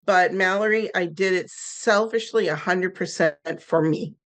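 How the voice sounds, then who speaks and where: Candid, lively woman in her sixties, low voice. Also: measured pace. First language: German. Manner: candid, lively storyteller with a ready laugh. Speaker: guest, nonprofit founder. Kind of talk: podcast interview.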